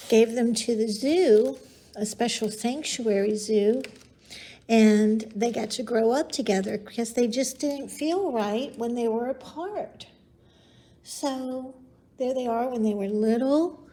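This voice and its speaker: Female storytime host for young kids: unhurried delivery, fairly high voice, simple encouraging explanations. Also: mellow. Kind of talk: children's storytime presentation with read-aloud and singing.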